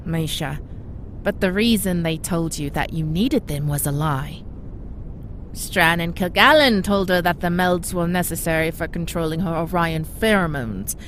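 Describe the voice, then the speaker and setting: Low-voiced, frank ship's doctor, played by a woman. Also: a little hiss or hum, natural voice. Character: frank, caring female starship medical officer. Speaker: voice actor. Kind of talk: audio drama dialogue.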